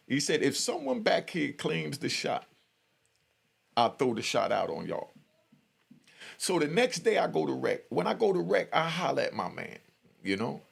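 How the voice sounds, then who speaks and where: Gravelly middle-aged man, streetwise storyteller. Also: mid-range voice. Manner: streetwise, slangy, conversational, checks in with listener. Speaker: YouTube storyteller and commentator. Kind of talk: casual spoken prison storytelling and commentary.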